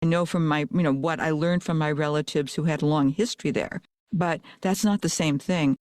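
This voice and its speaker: A man hosting a podcast interview over a video call, chatting eagerly in quick bursts. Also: on the high side, steady tone, darkish tone, dry recording, clean studio sound. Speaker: podcast host. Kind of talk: casual interview chat.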